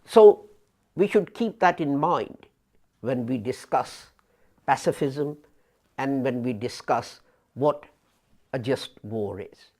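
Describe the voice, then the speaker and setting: A man in his fifties giving a sermon as an imam, speaking deliberately with pauses and rising emphasis, recorded in a small studio. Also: medium pitch, expressive, a little mellow. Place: small studio before a printed backdrop. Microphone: fixed camera, head and shoulders framing.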